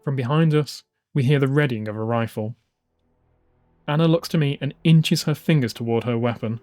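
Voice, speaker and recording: A man's voice: medium pitch, moderate pace, darkish tone. A man reading scripted, dramatised narration as an audiobook narrator. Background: music.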